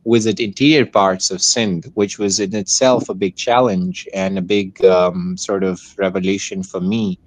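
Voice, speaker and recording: A man's voice: sits low, steady tone, normal speed, hardly any pauses. A nineteen-year-old man with a Pakistani accent, chatting as an interview guest and rephrasing as he goes. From Hyderabad, Pakistan. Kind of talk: casual interview answers.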